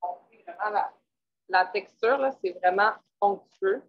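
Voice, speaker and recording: A woman's voice: mid-range voice, measured pace, dull audio. A woman co-presenting a live vegan cooking demonstration, chatty and casual. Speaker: cook co-presenting.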